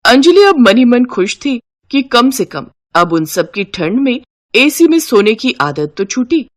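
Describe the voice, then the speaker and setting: A woman's voice: fairly high pitch, sing-song, a little slow. A woman giving scripted storytelling narration for a moral-story cartoon.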